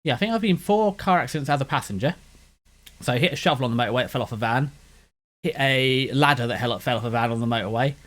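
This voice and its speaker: A man's voice: medium pitch, expressive, quick pace, a bit bright. A man from West London with a London accent and a slight lisp, chatting casually and rambling, his words blurring together as he restarts his sentences. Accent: London English. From West London, England. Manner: meandering with frequent restarts. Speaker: anime channel host.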